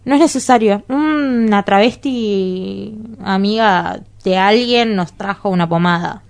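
A woman's voice: mid-range voice, a little slow, close to an everyday voice. A young Argentine woman playing a blunt, evasive, exasperated daughter and lover in a radio play, curt and offhand.

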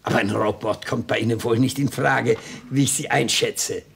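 Creaky, genial old defence lawyer played by a man. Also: medium pitch, steady tone, normal speed, slight background noise, close to an everyday voice. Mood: confiding, cautionary. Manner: courteous, savouring, expansive, admonishing. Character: elderly retired defence lawyer, genial, fussy, cautionary gourmet. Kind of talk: German radio play acting.

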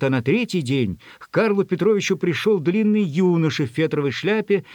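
Husky male storyteller, expressive reading. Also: fairly high pitch, no room sound, studio quality. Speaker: narrator. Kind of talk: scripted literary audiobook narration.

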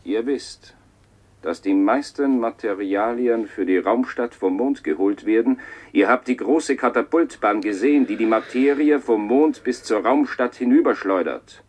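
An actor playing a pompous space-station leader giving a solemn, declamatory address to the world's citizens in a radio play. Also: male voice, medium pitch, measured pace, darkish tone, natural voice. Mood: urgent appeal. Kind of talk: declamatory public address in 1970s radio drama.

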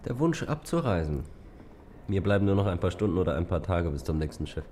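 Low, dark-voiced, wry male traveler. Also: very animated, fairly quick, slight background noise. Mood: reserved, dry. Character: reserved, wry European male traveler, quietly charmed. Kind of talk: German radio play acting.

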